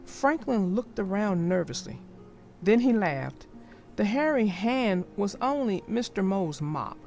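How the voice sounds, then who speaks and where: A woman narrating a children's picture book aloud in a gentle, expressive storytelling manner. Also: medium pitch, unhurried, soft background music.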